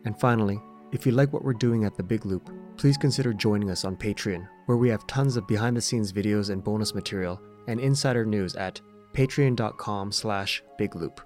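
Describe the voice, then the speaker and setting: Low-voiced man over prominent music. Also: a little fast, darkish tone. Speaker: podcast host. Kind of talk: scripted podcast outro. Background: song playing under the voice.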